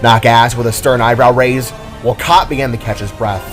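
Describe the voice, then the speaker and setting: A man's voice: medium pitch, steady tone, a little fast, fairly bright tone. A man reading scripted adventure fiction as the narrator, in a dramatic storytelling style. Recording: background music under the voice. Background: music.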